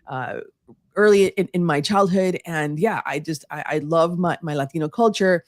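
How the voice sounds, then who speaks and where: A woman's voice: lowish voice, moderate pace, dry recording, studio quality. A woman from Puerto Rico whose first language is Spanish, giving earnest interview answers full of restarts and "you know", with Spanish phrases mixed in. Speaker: guest speaker.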